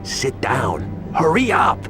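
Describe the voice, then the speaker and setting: An actor playing an excitable, irritable streetwise sidekick in a video game, with an animated, drawn-out delivery. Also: male voice, medium pitch, over music. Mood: irritated, urgent. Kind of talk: video game cutscene acting.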